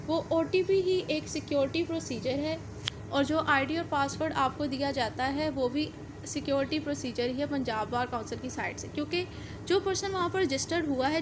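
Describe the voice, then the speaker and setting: High-pitched Pakistani woman lecturing over noise. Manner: didactic, repeats and rephrases points step by step. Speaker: law lecturer. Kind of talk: explanatory lecture mixing in English legal terms.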